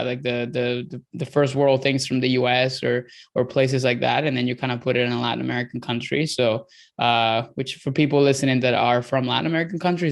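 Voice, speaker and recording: A man's voice: medium pitch, vocal fry. A man from Venezuela in his thirties with a Venezuelan accent, a dietitian guest talking casually in an interview, rambling with many fillers and restarts.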